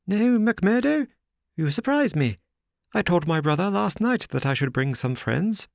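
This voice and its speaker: A man voicing a fussy, nervous middle-aged gentleman with a high, fretful way of talking. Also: very animated, measured pace, gravelly, no echo, studio quality, full character voice.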